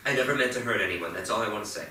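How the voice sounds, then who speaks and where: A man's voice: fairly low pitch, very fast, pushed and bright. A man in a staged play reading playing a remorseful, subdued teenage boy, meek and apologetic. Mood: contrite. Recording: echoey theatre, far from mic, faint noise.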